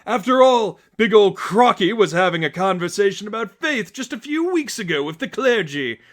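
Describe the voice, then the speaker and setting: Gleeful male clown supervillain, high-pitched, taunting, audiobook-style villainy. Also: big pitch swings, normal speed, fairly bright tone.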